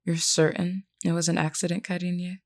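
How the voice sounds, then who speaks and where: Woman acting a low, creaky-voiced vampire queen. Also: level tone. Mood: calm, caring. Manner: measured, formal, earnest, reassuring. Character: composed, kindly vampire queen, solemn but caring leader. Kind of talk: scripted character roleplay audio.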